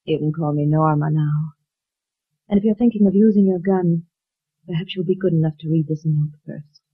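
Noir femme fatale, cold and resolute, husky voice, thin tinny vintage radio sound. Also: female voice, low voice. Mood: cold, resigned. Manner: deliberate, controlled, melodramatic. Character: lovely, coolly desperate woman killer, calm and resolute. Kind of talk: 1940s radio noir acting. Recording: muffled tinny 1940s radio recording.

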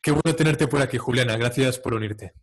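Measured male podcast host. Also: mid-range voice. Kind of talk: scripted show introduction. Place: home room with shelves. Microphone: studio mic on stand.

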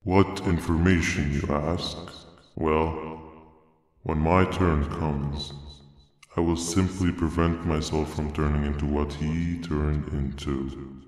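A man voicing a sinister, smug male monster villain in a video game, speaking with slow, gloating menace. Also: very deep voice, steady tone, a little mellow. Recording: echoey reverberant game audio.

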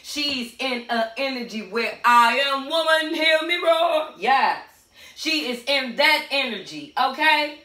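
Hoarse woman reading tarot, sassy and loud. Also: high-pitched, expressive, slow pace. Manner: sassy, sing-song and preachy, calls out to spirit. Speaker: tarot reader. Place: small room at home by a door. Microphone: camera standing a few steps away. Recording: echoey room, far from the mic, faint music.

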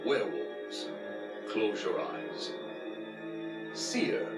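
Slow male game narrator over music. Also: fairly low pitch, wildly swooping pitch. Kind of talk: slow scripted game instructions. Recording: roomy echo, music behind.

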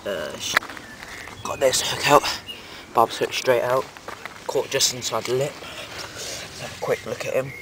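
A man's voice: medium pitch, slow, long pauses, recorded from a distance, background noise. A young man plainly describing the fish he has caught, filmed outdoors by a pond on a handheld camera. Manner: flat, plain, describes his catch. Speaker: angler vlogger. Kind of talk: casual fishing commentary.

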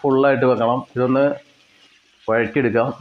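A man with a Kerala Malayali accent giving step-by-step cooking instructions in a plain way, with pauses and repetitions. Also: mid-range voice, level tone, narrow, tinny audio. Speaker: home cook presenter. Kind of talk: step-by-step recipe narration.

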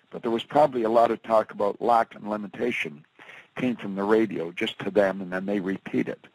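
A man giving a seminar lecture from slides, asking rhetorical questions and acting out quotes, swinging between loud emphatic bursts and soft asides. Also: medium pitch, slightly rough, no echo, low-quality recording. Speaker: motivational speaker.

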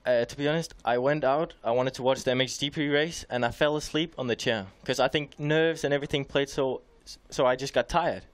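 A man's voice: mid-range voice, dry recording. A young man talks candidly as a studio guest, often stopping and restarting his sentences. His voice is close and dry on a headset mic. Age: young adult. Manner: candid, halting, many restarts. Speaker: motocross rider guest. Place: small indoor TV studio.

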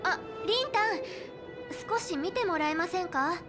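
A woman's voice: very high-pitched, moderate pace, over music. A woman voicing a bookish, earnest young woman in an anime game, speaking politely and precisely. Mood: puzzled. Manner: polite formal speech, precise, studious.

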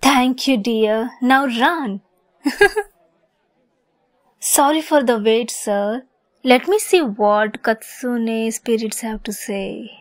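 A woman playing a lovesick, possessive young kitsune fox girl in an ASMR roleplay, sweet, coy and giggly in manner. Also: on the high side. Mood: sweetly menacing, then polite.

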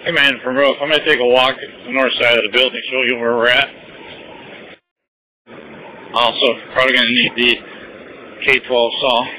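A man's voice: mid-range voice, flat delivery, normal speed, pauses often, bright, forceful tone. A man working as a firefighter, giving status reports over a two-way radio. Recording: dull, slightly noisy fireground radio.

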